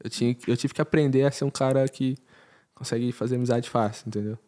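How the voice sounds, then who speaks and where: A man's voice: mid-range voice, fast talker. Brazilian guy on a podcast, chatty and laughing, regular mid voice. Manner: laughing, animated storytelling. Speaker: podcast guest. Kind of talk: casual chat.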